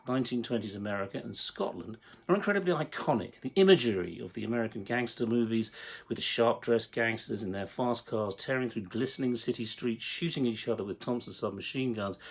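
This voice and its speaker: A man with a mid-pitched, gravelly voice delivers a prepared introduction as a writer. His tone has a husky grain to it. He speaks articulately and in measured phrases, stressing key words as he explains. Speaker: writer and producer introducing his audio drama series. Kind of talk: prepared explanatory monologue to the audience.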